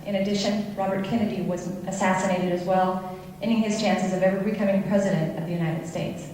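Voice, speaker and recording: A woman's voice: mid-range voice, steady tone, brisk, very noisy. A woman reading a prepared speech formally to an audience, stumbling over a word, heard from a distant mic in an echoey hall. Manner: reads formally, stumbles and corrects herself. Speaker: student presenter.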